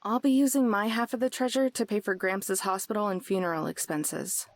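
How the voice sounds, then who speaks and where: A woman playing a steady, subdued young drifter in a visual novel, measured and matter-of-fact. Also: medium pitch, dry recording, close to an everyday voice. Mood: serious, resolved.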